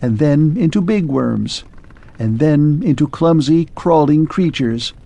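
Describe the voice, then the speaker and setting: A man voicing a melancholy clockwork man who speaks in a shrill, faint, pleading way. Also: lively intonation, takes it slowly, vocal fry, mellow, exaggerated voice. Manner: pleading, wistful, grows faint and distant.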